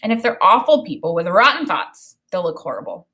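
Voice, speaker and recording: A woman reading a children's story aloud in an expressive narrating style. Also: on the low side, a little fast.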